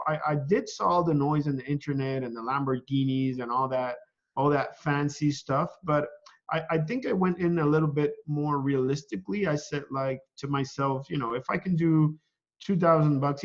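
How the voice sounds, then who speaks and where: A man from Venezuela, a guest on a casual call interview, talking in a halting way and repeating words as he thinks. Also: medium pitch, muffled recording. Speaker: guest, online seller.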